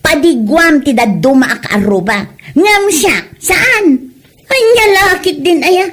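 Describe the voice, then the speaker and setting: Woman acting as a nagging wife, high voice, scolding, defensive, argumentative, comedy drama. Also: lively intonation, a little slow, a bit bright, heavily acted. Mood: indignant. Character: quarrelsome, defensive wife obsessed with making reels.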